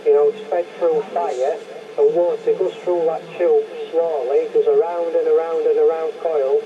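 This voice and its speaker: A man's voice: a little high, level tone, normal speed. Older Northern English man on noisy CB radio. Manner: emphatic, rambling, repeats himself. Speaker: radio hobbyist. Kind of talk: casual chat over CB radio. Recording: muffled CB radio transmission with loud noise.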